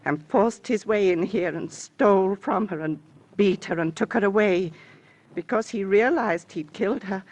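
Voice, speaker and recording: A woman's voice: mid-range voice, moderate pace, a little mellow, natural voice. An actress playing a fragile, timid, grieving lady in vintage radio drama, speaking with dramatic, pleading insistence. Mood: distraught, insistent. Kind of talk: 1940s-50s radio drama acting. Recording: noisy vintage radio recording.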